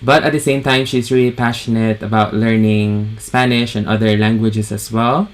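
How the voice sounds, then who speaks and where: A man's voice: on the low side, steady tone, normal speed. A man from the Philippines hosting an online event, formally introducing the guest speaker. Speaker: host.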